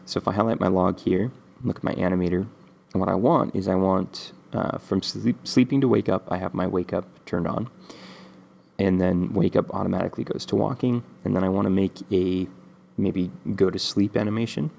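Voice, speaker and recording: Man, very low gravelly voice, congested from a cold; easygoing, rambling tutorial host. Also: moderate pace. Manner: relaxed, rambling with many ums. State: stuffy from a cold. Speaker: game development tutorial host. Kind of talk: casual screen-recorded coding tutorial.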